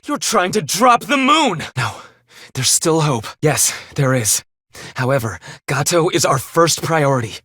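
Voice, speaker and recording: An actor playing an earnest, remorseful, devoted husband in a dramatic video game, with formal, measured, solemn phrasing. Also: male voice, fairly high pitch, sing-song, bright, forceful tone, breathy edge, no echo, heavily acted. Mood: resolute.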